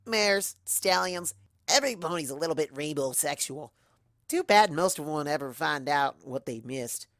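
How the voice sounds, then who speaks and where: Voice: mid-range voice, animated, moderate pace, a bit bright, no echo, clean studio sound. A storyteller voicing a brash, boastful young female cartoon pony, cocky, casual and emphatic.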